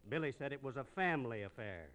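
An actor playing a scheming, cowardly American banker with an oily, evasive manner in an old radio western. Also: male voice, mid-range voice, expressive, fast, fairly bright tone, close to an everyday voice. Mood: smooth. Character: smooth, scheming, cowardly banker, secret male boss. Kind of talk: 1950s radio western acting.

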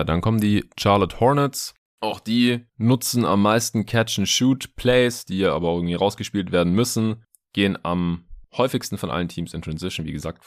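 A man's voice: low-pitched, no room sound, clean studio sound. A man hosting a sports podcast, explaining basketball analysis in an informal, conversational monologue with casual asides.

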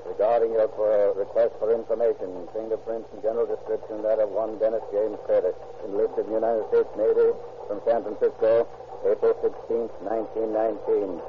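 A man giving measured, dramatic scripted narration for a vintage radio crime drama. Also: lowish voice, flat delivery, slight background noise. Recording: dull old radio recording with music.

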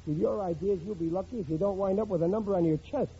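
A man's voice: sits high, fast talker. An actor playing a blustering, bumbling working-class father in an old radio situation comedy, stammering out excuses in slangy, ungrammatical talk. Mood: self-satisfied. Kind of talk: 1940s-50s radio situation comedy acting. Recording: dull old radio recording, faint noise.

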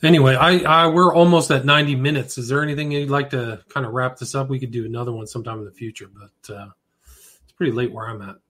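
Man, podcast host, tired, husky, relaxed conversational. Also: medium pitch, fast talker. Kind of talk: casual interview talk.

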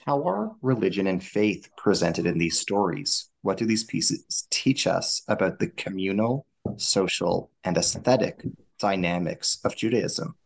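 A man hosting an interview, reading prepared questions in a formal, deliberate way. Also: sits low, animated, creaky, poor audio. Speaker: podcast host.

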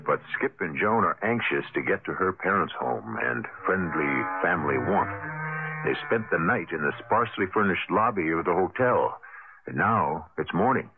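A man hosting and narrating a scripted radio mystery in a measured, dramatic storytelling manner. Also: low-pitched, expressive, background noise. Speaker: host and narrator. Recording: lo-fi 1980 radio broadcast, music behind.

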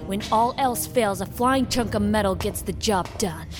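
A woman's voice: mid-range voice, natural voice. Woman playing a volatile gunwoman over dramatic music. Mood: smug, gloating. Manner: cocky, taunting, quick to snap. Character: volatile, menacing female gunwoman holding a hostage. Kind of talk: audio drama acting. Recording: music under the voice.

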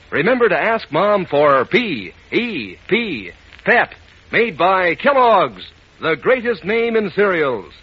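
High, lively male vintage radio announcer. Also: slightly rough. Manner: folksy, enthusiastic. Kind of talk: 1940s radio advertising pitch to children. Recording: muffled vintage radio broadcast.

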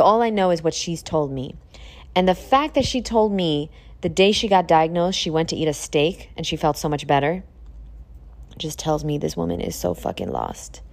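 A woman in her thirties hosting a podcast in a casual monologue, blunt and profane, preaching at her listeners and jumping into high emphatic peaks. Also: a little mellow. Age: 30s. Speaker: podcast host.

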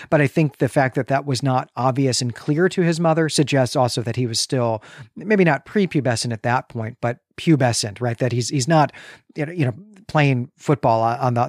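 A male podcast co-host with a medium-pitched voice, recorded dry and close. He discusses books conversationally, building his points in a measured, analytical, debating manner. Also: dry recording.

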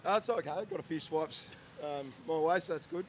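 A man, a co-host in a casual podcast chat, a little hoarse from days of shouting and eager to rattle off numbers. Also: on the high side, fairly quick. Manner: reels off stats, enthusiastic.

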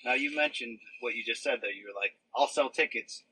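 A man's voice: medium pitch, brisk, bright, forceful tone, breathy edge, no echo, low-quality recording. A man interviewing a boxer, asking probing, eager questions.

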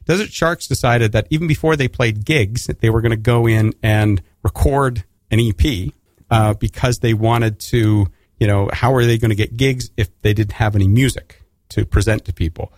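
Canadian male radio host, middle-pitched, dry close studio sound, rambling with restarts. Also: moderate pace, a little mellow, dry recording. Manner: rambling, many restarts and fillers. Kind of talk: casual radio interview.

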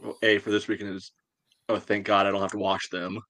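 A man's voice: on the low side, flat delivery, fairly quick, fairly bright tone, no room sound. A man co-hosting a sports podcast, talking casually in a dry, hesitant way. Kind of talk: casual soccer fan discussion.

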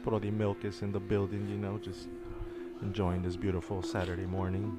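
Relaxed male guest, low voice, slangy, music in the background. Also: brisk. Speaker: podcast guest. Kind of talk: casual chat.

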